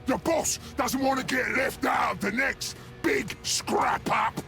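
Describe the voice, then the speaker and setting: A man voicing a sly, jovial ork salesman in a video game, with a raspy Cockney English voice and a wheedling manner. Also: very high-pitched, takes it slowly, bright, forceful tone, raised voice, soft background music, heavily acted. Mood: mocking, urgent. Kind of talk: video game character acting.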